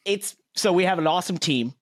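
Guy hosting a podcast, kinda high voice, jokey, laughs a lot, super dry sound. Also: no room sound. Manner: jokey, laughs often, talks in quick asides. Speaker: podcast host. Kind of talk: casual chat.

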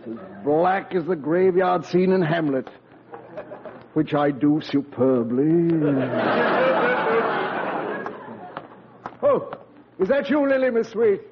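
A pompous, plummy stage ham, high for a man and wildly theatrical. He declaims flowery phrases with vain grandeur. The sound is a muffled, noisy vintage radio comedy. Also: animated, unhurried. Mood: flustered. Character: vain, flowery, womanizing old-school stage actor. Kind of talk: 1940s radio comedy acting.

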